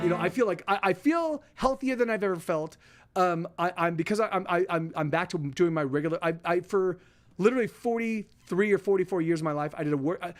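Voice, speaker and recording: A man in his forties hosting a livestream, chatting casually with viewers in halting, self-interrupting sentences and frequent swearing. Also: high voice. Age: 40s. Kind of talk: rambling casual chat.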